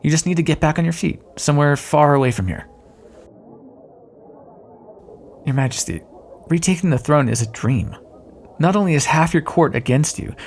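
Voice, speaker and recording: Casting: male actor as a sardonic fantasy rogue for audio roleplay, lively intonation, gravelly edge, noisy ambient backdrop. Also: on the high side. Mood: earnest, sympathetic. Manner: sardonic, teasing, conversational. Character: roguish male thief escorting a deposed queen, wry but decent. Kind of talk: audio roleplay acting, addressed to the listener.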